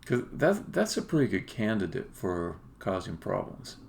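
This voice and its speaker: A man with a lowish voice and heavy vocal fry talks through his coding on a live stream. He is blunt and unscripted, thinking aloud in fragments, with faint noise and keyboard clicks behind him. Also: sing-song, moderate pace, a little mellow.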